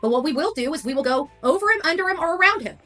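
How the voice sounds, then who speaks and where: High, bright, defiant woman. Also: animated, rapid-fire, soft background music. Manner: defiant, emphatic, combative. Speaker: livestreamer covering a case. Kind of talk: informal livestream monologue.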